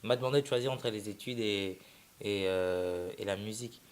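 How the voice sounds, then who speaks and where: Young Congolese musician, low relaxed voice. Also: male voice, moderate pace. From Congo-Kinshasa. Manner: relaxed, rambling, with many restarts. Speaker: musician guest. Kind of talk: casual interview, mixing French and Lingala.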